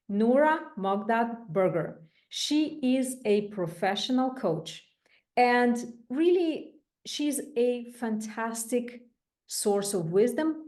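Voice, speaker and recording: Woman host, unhurried delivery, effusive and deliberate with guests. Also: a little high, darkish tone. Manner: slow, deliberate, effusive praise. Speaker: podcast host and co-founder. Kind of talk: podcast interview.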